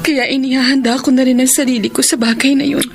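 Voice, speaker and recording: Radio drama sad partner: Filipino accent, high pitch, resigned sorrow, music underneath. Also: female voice, fairly even intonation, quick pace, vocal fry.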